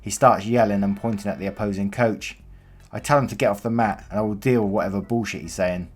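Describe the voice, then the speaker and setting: A man's voice: lowish voice, steady tone, fast talker. A man reading online stories aloud, telling them plainly and adding offhand comments of his own. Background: faint background music.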